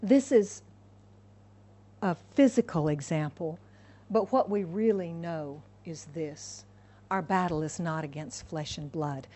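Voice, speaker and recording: A woman's voice: lowish voice, slow pace, dark tone. A woman from the United States preaching a sermon, emphatic and repeating her key phrases for the congregation. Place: church in Hong Kong.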